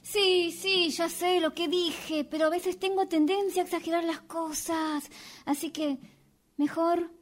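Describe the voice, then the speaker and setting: Woman acting frantic high-pitched Argentine young woman. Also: steady tone, unhurried. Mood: anxious, pleading. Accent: Rioplatense Argentine. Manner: insistent, repetitive, urging. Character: frantic, pleading young woman dragging her friend into trouble. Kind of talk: radio theatre workshop fiction.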